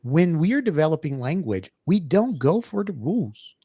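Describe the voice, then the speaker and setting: A man's voice: fairly high pitch, sing-song, moderate pace, mellow, husky, no room sound. Brazilian man, dry close sound. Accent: Brazilian Portuguese. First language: Portuguese. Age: middle-aged. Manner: hesitant, many fillers and restarts. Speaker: co-host, professor. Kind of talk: casual educational podcast chat.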